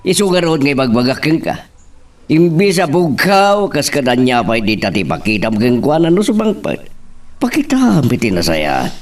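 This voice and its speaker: A man's voice: mid-range voice, sing-song, normal speed, far from the mic, natural voice. A man acting a fatherly middle-aged character who counsels his grown children, with an Ilocano Filipino accent. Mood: coaxing, animated. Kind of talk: Ilocano audio drama acting.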